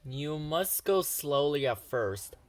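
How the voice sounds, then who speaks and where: A man's voice: a little high, expressive. A man voicing an old male steam engine, a careful, fatherly adviser with a cautioning manner, in a storybook. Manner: slow, cautioning.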